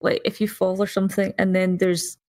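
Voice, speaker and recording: A woman's voice: medium pitch, flat delivery, brisk, a little mellow. A woman in her thirties talking casually as a player on a podcast. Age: 30s. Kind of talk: casual chat.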